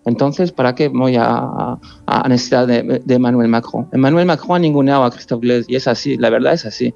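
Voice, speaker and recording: Halting man on a dull remote line. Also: mid-range voice, fairly even intonation, gravelly, darkish tone. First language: not Spanish. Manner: halting, repeats words, earnest. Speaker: journalist, interview guest.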